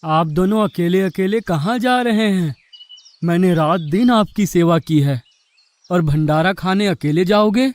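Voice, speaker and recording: A high-voiced, fiery younger brother of a god acted by a man in a devotional cartoon. He is loyal and short-tempered, with a protesting manner. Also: unhurried. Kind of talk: animated devotional story acting.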